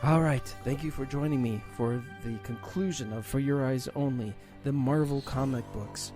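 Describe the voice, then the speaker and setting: A man's voice: mid-range voice, normal speed, music in the background. A man who makes solo audio dramas, talking casually as he signs off to listeners. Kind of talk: casual sign-off.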